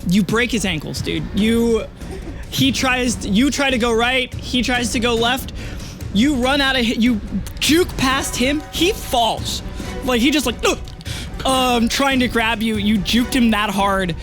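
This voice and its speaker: A game master improvises tabletop narration in a loose, conversational manner with some swearing. The pitch is middling, and loud music plays behind the voice throughout. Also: measured pace. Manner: casual, profane, conversational.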